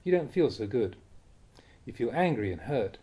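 A man reading a Buddhist spiritual talk aloud in a deliberate, expressive, explanatory manner. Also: medium pitch, slightly rough. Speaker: reader of Buddhist teachings, monk.

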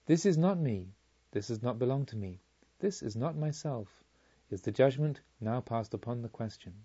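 Man as enlightened storybook woman, self-possessed, solemn, deliberate, dark soft tone, dull audio. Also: medium pitch, lively intonation, husky. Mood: serene detachment.

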